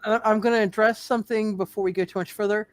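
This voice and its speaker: A man's voice: high voice, fairly even intonation, fast talker. A man co-hosting a live tabletop game stream, chatting casually. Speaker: show co-host and player.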